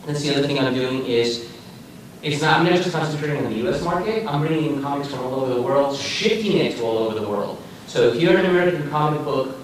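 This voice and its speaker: A man's voice: medium pitch, animated, fast talker. A man from Argentina talking informally on a panel, unscripted, often checking in with his listeners. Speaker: comics marketer and panelist. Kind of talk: casual unscripted panel talk. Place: echoey room, recorded at a distance. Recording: very echoey room, distant mic, faint noise.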